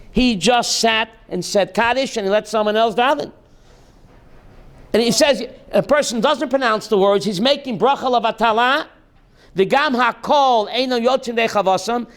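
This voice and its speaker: A rabbi teaching a class, reading text slowly and then explaining it in while quizzing his students. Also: extremely high pitch. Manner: reads Hebrew text aloud slowly, then explains in English, quizzing listeners.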